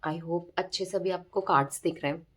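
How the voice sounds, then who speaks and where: Hoarse woman giving a chatty tarot reading. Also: fairly low pitch, fairly even intonation, normal speed. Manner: chatty, keeps checking in with listeners. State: hoarse, sore throat. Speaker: tarot reader. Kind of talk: casual tarot reading to viewers.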